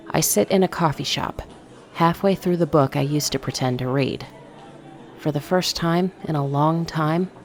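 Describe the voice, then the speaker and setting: Low, gravelly-voiced woman narrating a story. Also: a little mellow, no echo. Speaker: narrator of a fiction podcast story. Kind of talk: first-person dramatic scripted narration, diary entries.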